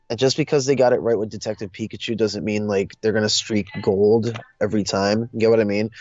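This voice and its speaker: Hesitant man, mid pitch, muffled dry audio. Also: darkish tone, no echo. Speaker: co-host in a movie discussion. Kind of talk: casual chat. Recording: dull lo-fi audio, music bed. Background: music.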